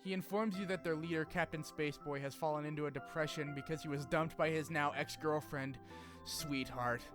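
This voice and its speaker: A man hosting a video essay, reading a scripted game story recap with informal, animated asides and some swearing. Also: a little high, fairly quick. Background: music under the voice.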